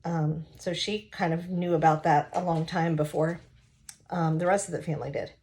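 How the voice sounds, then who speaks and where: A woman reading a novel aloud expressively, pausing now and then to explain it to listeners. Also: deep voice, fairly even intonation, a little mellow.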